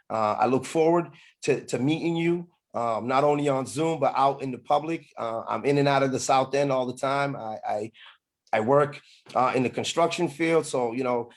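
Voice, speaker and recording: A middle-aged man gives a campaign speech over a video meeting. His voice sits at an average pitch. He speaks haltingly, pausing often and repeating phrases as he makes his case as a candidate. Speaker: political candidate.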